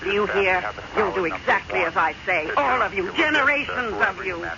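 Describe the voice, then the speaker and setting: A woman's voice: mid-range voice, normal speed, lightly acted. A woman playing an evil, imperious dead stepmother who has possessed a young woman, scornful and commanding, in a radio mystery drama. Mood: domineering. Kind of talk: 1970s radio mystery acting. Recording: dull old radio recording, crowd noise behind.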